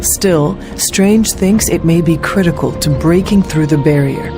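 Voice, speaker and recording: Solemn, formal warrior hunter. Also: female voice, low-pitched, music in the background. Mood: solemn, curious. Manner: formal, measured, deliberate. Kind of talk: video game cutscene acting.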